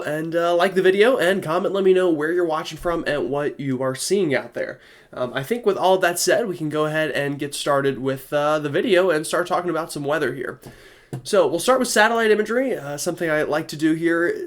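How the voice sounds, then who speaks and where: A young man with a cold, sounding congested, giving a casual weather forecast with lots of fillers. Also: on the high side. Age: young adult. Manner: chatty, many fillers.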